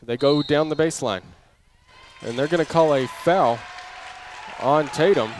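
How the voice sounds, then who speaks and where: Guy calling a basketball game live, kinda high voice, short choppy phrases, crowd in the gym behind him. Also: measured pace, background noise. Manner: clipped running phrases, calling each move as it happens. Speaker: play-by-play basketball announcer. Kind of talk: live radio-style play-by-play commentary. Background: crowd, bouncing basketball.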